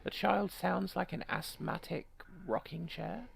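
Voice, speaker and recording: A woman's voice: lowish voice, steady tone, measured pace, vocal fry, a little hiss or hum. A woman streaming a game, reading the story aloud with irreverent, sweary, joking commentary. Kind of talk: game playthrough, narration mixed with casual commentary.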